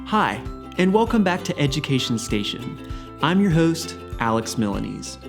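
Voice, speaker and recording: A woman's voice: sits low, big pitch swings, normal speed, music in the background. A woman with an American accent presenting a scripted children's educational show as its host.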